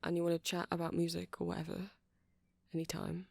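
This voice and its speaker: A man's voice: a little high, monotone, a little fast, creaky, dry recording. A man playing a shy, earnest, nerdy vampire in an ASMR roleplay, speaking in a hesitant, rambling, stammering way. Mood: hopeful, friendly. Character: shy, earnest, nerdy male vampire running a music shop.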